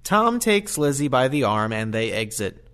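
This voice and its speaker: A man's voice: mid-range voice, sing-song, moderate pace, a bit bright. A man narrating an audio play, reading out scripted stage directions and scene changes.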